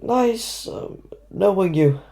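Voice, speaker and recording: A woman's voice: medium pitch, lively intonation, takes it slowly, vocal fry, warm tone. A woman playing a frightened young boy wizard, nervous and wry, in an audio drama. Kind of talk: fan audio drama acting.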